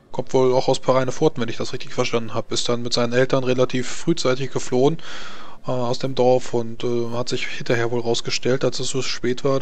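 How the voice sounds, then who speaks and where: A man's voice: mid-range voice, close to an everyday voice. Man playing a helpful, rambling priest. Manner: rambling, matter-of-fact. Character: helpful, hesitant male priest caring for orphans.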